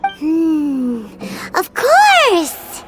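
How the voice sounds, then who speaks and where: A woman voicing a tiny, excitable childlike fairy companion in an anime video game, given to exclamations. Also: very high-pitched, extremely slow, exaggerated voice. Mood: flustered. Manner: refers to herself by name, exclaiming. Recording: loud game music underneath.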